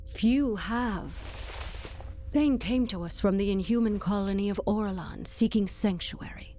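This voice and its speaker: A woman's voice: mid-range voice, a little slow, dark tone, a little hiss or hum, close to an everyday voice. A woman playing a regal, stern queen in a video game, with a formal, imperious manner. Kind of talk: video game dramatic acting. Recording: long hall reverb, music behind.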